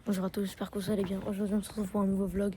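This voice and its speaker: A woman's voice: medium pitch, level tone, very fast. A woman chatting casually for a vlog in a cheeky, argumentative way. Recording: very loud vehicle and background noise.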